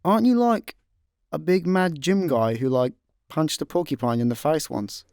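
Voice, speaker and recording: A man playing a hapless, sardonic space layabout, deadpan and bemused, in a comic sci-fi radio play. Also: fairly high pitch, normal speed, clear, resonant tone, no room sound, clean studio sound, natural voice. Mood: exasperated.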